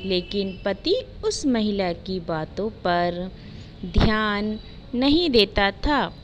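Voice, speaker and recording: A woman narrating a scripted moral story in simple, deliberate short phrases. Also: medium pitch, expressive, a little hiss or hum.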